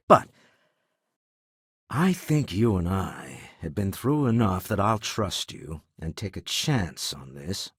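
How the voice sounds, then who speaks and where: An actor playing a shrewd, wealthy older businessman in a video game, proud and wry, with a husky voice and a dry, articulate, refined delivery. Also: deep voice, no echo, exaggerated voice. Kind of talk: video game character dialogue.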